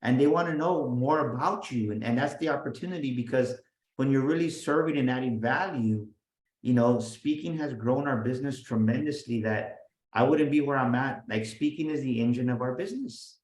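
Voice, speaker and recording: Energetic man, roomy echo. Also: mid-range voice, a little fast. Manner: energetic, emphatic, repeats words as he restarts. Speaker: guest speaker and business coach. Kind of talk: motivational interview talk.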